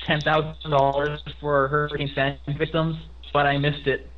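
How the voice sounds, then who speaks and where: A man's voice: a little high, level tone, moderate pace, slight background noise. A man talking offhandedly in a casual livestream chat. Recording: slightly dull mic sound.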